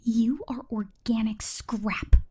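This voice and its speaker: A woman performing whispered ASMR roleplay as a possessive, menacing female killer robot, with a breathy, theatrical delivery. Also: fairly high pitch, slow pace, warm tone.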